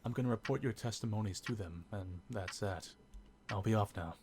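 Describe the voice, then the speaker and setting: An actor playing a businesslike investigator in a game, with a casual, probing, plainspoken manner. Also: male voice, fairly low pitch, a little fast, lightly acted. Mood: inquisitive, businesslike. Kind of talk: game drama dialogue. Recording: clear ticking background noise.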